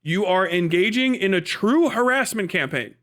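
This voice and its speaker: Male streamer, high voice, profane and opinionated, casual emphatic commentary. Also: animated, moderate pace, a bit bright. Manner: profane, emphatic, opinionated, repeats words for stress. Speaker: livestream host.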